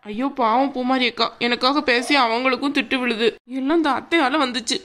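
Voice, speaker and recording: Argumentative young aunt, high-pitched and rapid, played by a woman. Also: steady tone, a bit bright. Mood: arguing. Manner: argumentative, quick. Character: quick-talking young aunt, teasing.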